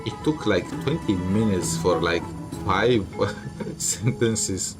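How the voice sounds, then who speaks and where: A man's voice: deep voice, lively intonation, slow pace, music in the background. A man streaming a story game, giving casual commentary with wry, snarky asides.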